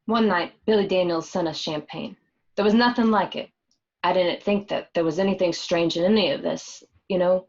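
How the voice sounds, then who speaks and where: A woman's voice: on the low side, normal speed, a little mellow, highs cut off, close to an everyday voice. A woman playing a gangster's forthright young girlfriend in a read-through of a film script. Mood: matter-of-fact.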